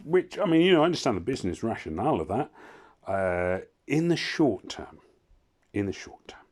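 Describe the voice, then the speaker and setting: A hoarse man casually commentating his mobile game, rambling and cutting himself off with wry asides. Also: medium pitch, big pitch swings, normal speed, a little mellow. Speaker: gaming channel host.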